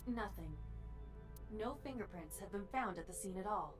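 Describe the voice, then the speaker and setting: An actress playing a brisk, matter-of-fact female AI partner in a video game. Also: mid-range voice, animated, lightly acted. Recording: distant game audio over music.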